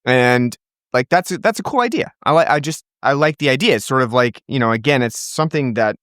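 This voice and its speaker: A man co-hosting a film podcast in casual chat, explaining ideas in a relaxed, easygoing way. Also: medium pitch, a little fast, dry recording, clean studio sound. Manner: relaxed, explanatory, laughs often. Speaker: podcast co-host. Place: podcast studio.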